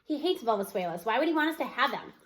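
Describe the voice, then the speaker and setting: A woman's voice: a little high, very animated, fast talker, fairly bright tone. A woman narrator plays a sceptical middle school boy from a children's novel. He grumbles and retorts, puzzled and unwilling to be impressed. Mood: complaining. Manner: retorting, complaining.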